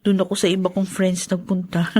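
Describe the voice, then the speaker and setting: A woman's voice: fairly low pitch, flat delivery, normal speed, mellow, slightly rough. An actress playing a polite but determined grieving young woman in a radio drama. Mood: confiding. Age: young adult.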